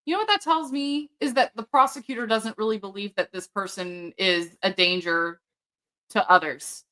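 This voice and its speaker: A woman hosting a casual commentary chat, chatty and quick to laugh. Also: medium pitch, sharp tone. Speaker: host.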